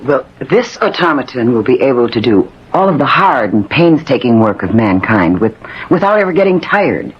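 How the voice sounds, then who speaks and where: A man voicing a scientist's devoted, earnest daughter and assistant, husky, explaining things in a 1950s radio drama. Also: medium pitch, sing-song, normal speed. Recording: muffled 1950s radio recording.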